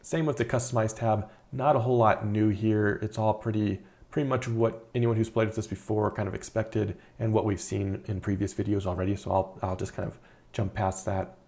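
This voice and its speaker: A man in his thirties, an indie game developer, talking casually through a screen-recorded devlog with a relaxed, rambling manner and many fillers. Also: fairly low pitch, a little fast. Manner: relaxed, chatty, frequent uh fillers and repeated words. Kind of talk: casual video devlog narration.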